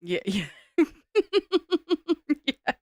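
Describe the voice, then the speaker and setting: A woman's voice: dry recording, clean studio sound. A British woman co-hosting a live stream, teasing and mocking her co-host in casual banter. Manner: teasing, mocking, quick to laugh. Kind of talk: casual banter while building.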